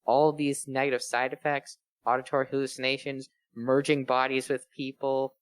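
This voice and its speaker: A man's voice: medium pitch, flat delivery, normal speed, no echo. Rambling man riffing, dry close sound. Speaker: co-host reading fan fiction aloud. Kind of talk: casual chat with joking riffs.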